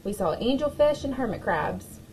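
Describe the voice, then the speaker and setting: A woman's voice: on the high side, big pitch swings, normal speed, darkish tone, a little hiss or hum, rough recording. A woman voicing a dreamy, easygoing little boy in a storybook reading, with light, simple replies. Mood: cheerful.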